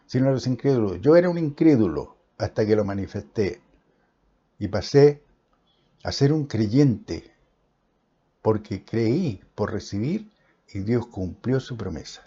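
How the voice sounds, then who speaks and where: A man delivering a Bible sermon, stressing phrase after phrase with measured pauses. His voice is dark and a little husky. The recording sounds muffled and lo-fi. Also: mid-range voice, expressive. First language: Spanish. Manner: emphatic, declaiming with pauses. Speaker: preacher. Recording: dull lo-fi recording.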